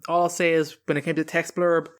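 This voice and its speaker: A man's voice: fairly high pitch, little pitch movement, fairly quick, clear, resonant tone. A man hosting a podcast, talking casually and informally with emphatic opinions. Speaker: podcast host.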